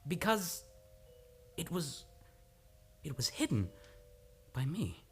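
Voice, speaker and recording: A man playing a troubled, guilt-ridden amnesiac in an old-time radio play, halting and impassioned, by turns romantic and petulant. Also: a little high, sing-song, slightly rough, faint music behind, heavily acted. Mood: anguished, confessing. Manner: halting, impassioned, swinging between teasing and anguish.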